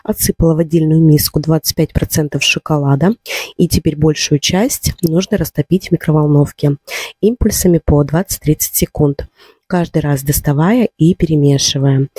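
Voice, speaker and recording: Home vlogger, woman, slightly ill and a bit hoarse, calm step-by-step voice-over, warm dry voice. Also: low-pitched, dry recording. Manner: calm, instructive, explains step by step. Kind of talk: voice-over narration of a cooking and decorating vlog.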